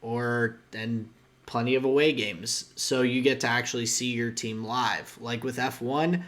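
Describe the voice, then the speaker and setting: A man's voice: medium pitch, fairly even intonation, measured pace, a bit bright. A young man co-hosting a podcast, talking in a loose, rambling way with frequent restarts. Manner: rambling, restarts often, guesstimates. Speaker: podcast co-host. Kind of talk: casual chat.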